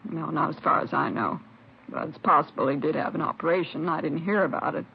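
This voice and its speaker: A woman's voice: low-pitched, fairly quick, gravelly, slight background noise. A woman playing a talkative neighbor who is sure of herself, questioned by police in a 1950s radio drama. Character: chatty, certain middle-aged woman neighbor. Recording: dull vintage radio recording.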